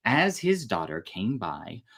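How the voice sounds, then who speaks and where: A woman hosting a storytime, reading a fairy tale in a theatrical, deliberate way with casual asides. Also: a bass voice, sing-song, vocal fry, slightly rough. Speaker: storyteller and host.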